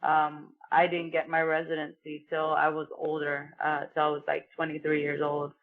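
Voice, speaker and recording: A woman's voice: sits low, monotone, normal speed. A woman from Mexico, a professional boxer, talking earnestly as a guest in an interview and often saying "you know". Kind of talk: casual interview conversation. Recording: tinny phone-like remote line.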